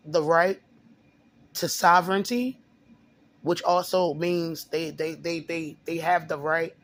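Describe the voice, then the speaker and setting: A man with a slightly sore throat, talking casually to camera in a halting way, repeating words and using many fillers. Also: on the high side, poor audio. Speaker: video blogger.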